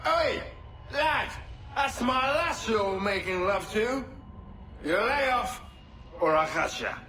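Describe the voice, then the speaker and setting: An actor playing a jealous, hot-tempered pirate who shouts and taunts. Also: male voice, high voice, expressive, takes it slowly, exaggerated voice. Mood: jealous, blustering. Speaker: pirate captain. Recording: echoey, noisy, from afar.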